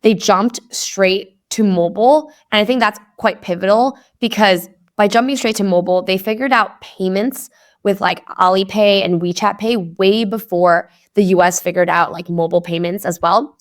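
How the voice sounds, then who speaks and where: A woman's voice: medium pitch, normal speed. A young woman from Hong Kong, a venture investor, talking chattily as a podcast guest with lots of "like" fillers. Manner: chatty, upbeat, many "like" fillers. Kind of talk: casual podcast interview.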